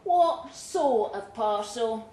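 A woman's voice: on the high side, animated, drawn-out words, darkish tone. A woman reader voicing an ex-convict's blunt, wary girlfriend in a live story reading, with emphatic delivery. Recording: roomy pub recording with faint noise.

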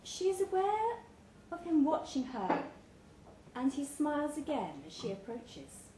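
A woman reading a short story aloud at a live pub event, measured and with dramatic pauses. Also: high voice, very animated, dark tone. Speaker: story reader. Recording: echoey room, clear background noise.

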